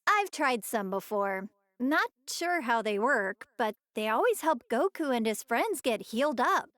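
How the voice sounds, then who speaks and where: A woman's voice: on the high side, sing-song, measured pace, fairly bright tone, dry recording, studio quality. A woman playing a kind, curious young mother in a video game, with a playful, light-hearted and inquisitive way of talking. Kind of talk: scripted video game character banter.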